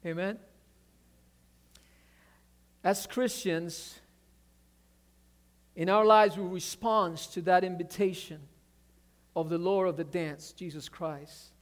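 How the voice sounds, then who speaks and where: High-voiced man preaching with fervor. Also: slow, pauses often. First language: Spanish. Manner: animated preaching, repeats words, asks amen. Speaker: pastor. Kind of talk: sermon.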